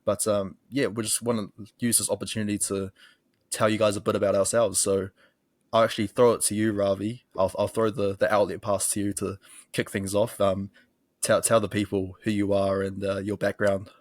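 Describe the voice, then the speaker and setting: A young man from New Zealand hosts a casual podcast in his local accent. His voice sits low and barely moves in pitch. The recording is dry and close. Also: level tone, moderate pace, dry recording. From Auckland, New Zealand. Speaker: podcast host, sports journalist.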